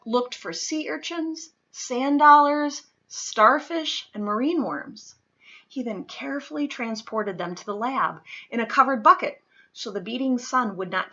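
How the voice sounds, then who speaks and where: A woman reading a children's nonfiction picture book aloud, with deliberate, emphatic phrasing for young listeners. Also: fairly high pitch, pushed and bright, muffled recording. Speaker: read-aloud reader.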